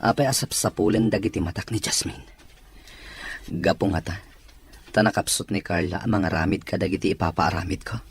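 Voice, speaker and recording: A man acting a demanding office boss with a secret soft spot, in an audio drama. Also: deep voice, fairly quick, creaky, long pauses, slightly rough, soft background music, heavily acted. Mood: brooding. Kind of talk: Ilocano radio drama acting.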